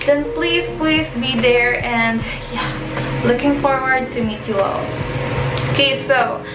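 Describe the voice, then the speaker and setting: A young woman with a high voice chatting casually as a vlogger, reading out questions with an upbeat manner. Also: slow pace. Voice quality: high-pitched. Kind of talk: casual chat. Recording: echoey room with background music.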